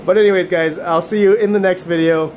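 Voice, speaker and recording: A man's voice: sits high, steady tone, brisk, darkish tone, a little hiss or hum. Upbeat man with a fading voice. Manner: upbeat, chatty, addresses viewers as guys. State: losing his voice. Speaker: mining channel host. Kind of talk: casual hands-on video commentary. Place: condo room with mining rigs.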